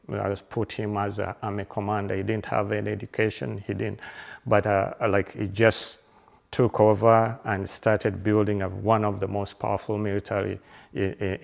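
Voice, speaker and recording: Man in his fifties from Uganda, low gravelly voice, earnest, halting delivery full of fillers and restarts. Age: 50s. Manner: halting, with many fillers, restarts and repeated words; earnest and reflective. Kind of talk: reflective personal conversation.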